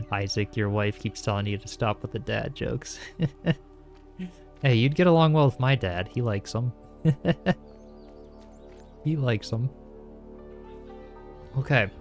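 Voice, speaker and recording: A young man streaming a building game, talking calmly and softly while reading chat aloud, with frequent small chuckles. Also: mid-range voice, takes it slowly, long pauses. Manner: soft-spoken, calm, chuckles often, reads chat aloud. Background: faint game music.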